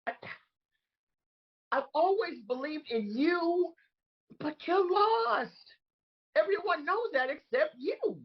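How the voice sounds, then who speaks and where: A woman playing an aggrieved, bewildered disgraced crony with a husky voice in a comedic table read. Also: sits high, lively intonation, takes it slowly, pauses often, highs cut off, full character voice. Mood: exasperated. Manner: indignant, emphatic, dramatic. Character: Rudy, aggrieved, bewildered disgraced man trapped in woman's body.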